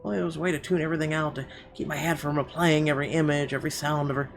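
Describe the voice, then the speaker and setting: A man's voice: fairly high pitch, flat delivery, rapid-fire, vocal fry. A man reading horror visual novel narration aloud in a theatrical, suspenseful way with emphatic phrasing. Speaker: gaming video host. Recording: music behind the voice. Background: game music.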